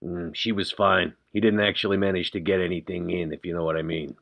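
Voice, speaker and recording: Low-voiced man describing roleplay actions. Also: flat delivery, brisk, gravelly, dry recording, muffled recording. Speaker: tabletop game player. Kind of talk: casual descriptive roleplay narration.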